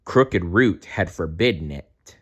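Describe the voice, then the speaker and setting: A man's voice: low-pitched, animated, takes it slowly, breathy edge, lo-fi sound. A man narrating a children's story read aloud. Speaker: storybook reader.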